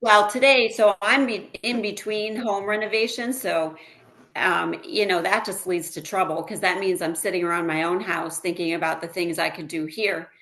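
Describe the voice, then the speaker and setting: A woman in her fifties from central New York, a guest chatting casually and warmly with frequent fillers about her busy days. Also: fairly low pitch, fairly even intonation, normal speed. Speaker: guest, former teacher turned house flipper. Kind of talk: casual interview chat.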